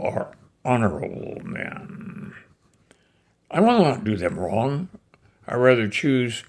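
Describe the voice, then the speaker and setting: A grieving, persuasive Roman orator, recited by a man in a slow, deliberate delivery. The voice is gravelly and swings widely in pitch, rising and falling in loudness as he declaims. It sounds like a dramatic stage recitation. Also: full character voice. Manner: declamatory, with sudden swells and drops in loudness. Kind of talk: dramatic recitation of a classic play speech.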